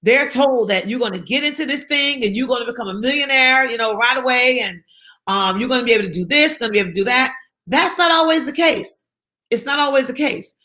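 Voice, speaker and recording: A woman's voice: high-pitched, animated, brisk, pushed and bright. A woman from South Carolina, speaking as an interview guest in an emphatic, encouraging way and repeating her key phrases for effect. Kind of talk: motivational interview talk.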